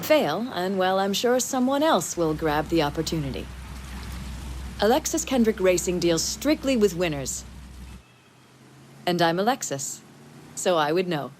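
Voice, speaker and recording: Confident, lowish-voiced woman racing boss. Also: very animated, measured pace, long pauses, darkish tone, slight background noise. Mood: commanding. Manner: self-assured, wry, mentoring. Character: confident, brisk female pro racing team boss. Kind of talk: video game cutscene acting.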